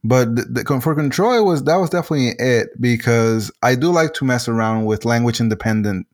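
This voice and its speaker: Puerto Rican game designer, halting speech. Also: male voice, mid-range voice, expressive, darkish tone. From Puerto Rico. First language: Spanish. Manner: halting, many restarts and fillers. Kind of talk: casual interview answers.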